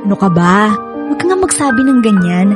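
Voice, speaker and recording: A woman playing an excited, loving young bride-to-be who teases her friend, in radio drama style with a Filipino accent and a casual, expressive delivery. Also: medium pitch, fast, loud music behind the voice, lightly acted. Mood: reassuring, affectionate.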